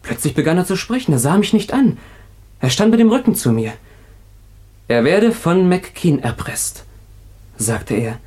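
An actor playing an agitated escaped convict in a radio crime drama, confessing in a halting, emotional way. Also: male voice, high-pitched, sing-song, a little mellow, husky, exaggerated voice. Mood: tense, confessing.

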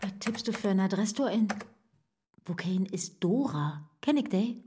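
An actress playing an anxious, curious woman in a comic radio play, speaking northern Low German. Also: animated, normal speed, noisy background, natural voice. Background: computer keyboard clicks.

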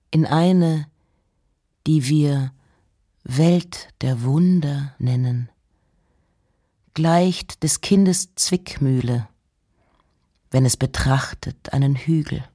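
A woman giving a measured, deliberate literary reading of poems and letters, with pauses. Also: deep voice, dark tone, slightly rough. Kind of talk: literary recitation.

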